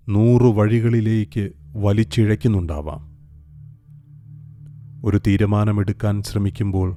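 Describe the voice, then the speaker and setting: A man leads a guided meditation in a very low, mellow voice, speaking slowly with long pauses between soft instructions. Gentle music and a singing bowl sit faintly behind him.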